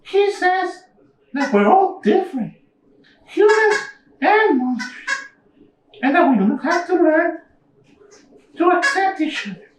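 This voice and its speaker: A woman voicing a young, squeaky monster puppet telling stories in a simple, childlike way at a live puppet show. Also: sing-song, takes it slowly, long pauses, heavily acted. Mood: friendly. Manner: childlike, simple storytelling to an audience. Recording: echoey room, slightly distant mic.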